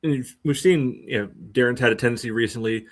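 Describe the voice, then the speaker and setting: A man co-hosting a sports podcast, giving casual analysis in a halting, conversational way. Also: medium pitch. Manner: halting, conversational, peppered with "you know". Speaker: podcast co-host.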